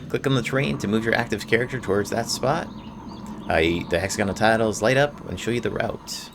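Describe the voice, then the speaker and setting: Guy doing casual gameplay commentary, some vocal fry, faint music behind, reads game text out. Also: on the low side, fairly quick. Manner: informal. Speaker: gameplay video host.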